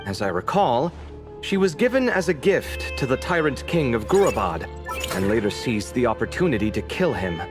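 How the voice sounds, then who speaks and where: A man plays a well-read young scholar whose sarcastic, expressive voice swings up and down as he talks. Game music sits clearly under his lines. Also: medium pitch, natural voice.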